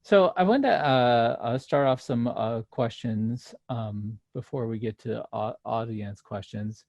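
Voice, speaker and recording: Slow, halting interviewer, dry close sound. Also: medium pitch, darkish tone, no echo, dull audio. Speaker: event host and interviewer. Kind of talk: conversational interview hosting.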